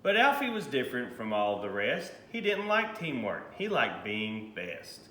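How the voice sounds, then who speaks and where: Guy doing a kids read aloud, rhymes, really lively voice, kinda echoey room. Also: mid-range voice, measured pace. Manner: expressive, animated reading for children. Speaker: high school principal reading a picture book aloud. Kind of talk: storybook read-aloud in rhyming verse. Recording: roomy echo, faint background noise.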